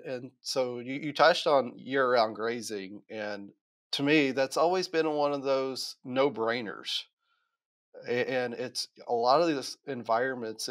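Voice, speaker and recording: A man hosting a podcast interview, casually affirming his guest and adding his own longer explanations. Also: medium pitch. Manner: affirming, drawn-out explanatory asides. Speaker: podcast host.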